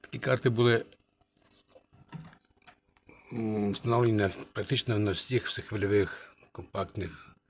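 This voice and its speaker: A man giving a casual hands-on review as a channel host, halting and thinking aloud, muttering remarks to himself. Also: lowish voice, steady tone. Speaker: channel host, radio repairer.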